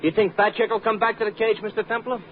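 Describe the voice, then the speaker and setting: A man plays a good-natured, none-too-bright cab driver in an old radio play. He chatters in folksy slang, in a highish voice, fumbling the big words. The sound is thin and faintly hissy. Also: fairly even intonation, fast talker, natural voice. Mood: chatty, earnest. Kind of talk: old-time radio comedy-drama acting. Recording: narrow old radio recording, faint hiss.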